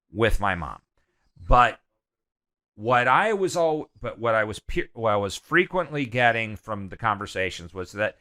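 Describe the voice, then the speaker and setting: Middle-aged male guest, bright and crisp tone, loud and animated, many fillers. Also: mid-range voice. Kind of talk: candid conversational interview.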